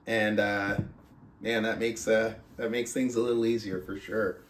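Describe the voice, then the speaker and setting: A man hosting a book club podcast, talking casually and reading aloud with deliberate, drawn-out emphasis and pauses. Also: on the low side, level tone. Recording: roomy, echoing sound.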